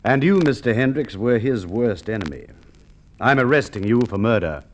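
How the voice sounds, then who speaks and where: An actor playing a shrewd police inspector questioning a suspect, in an old radio drama. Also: male voice, mid-range voice, lively intonation, a little hiss or hum, lightly acted. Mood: probing. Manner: dry, probing, measured. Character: shrewd middle-aged male police inspector. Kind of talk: 1950s radio drama acting.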